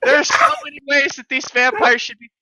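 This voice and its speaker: A woman's voice: sits high, little pitch movement, fairly quick, bright, forceful tone, slight background noise. A woman co-hosting a casual read-aloud podcast, mocking the book with sarcastic, irreverent commentary and plenty of swearing. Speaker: podcast co-host reading a novel aloud with commentary.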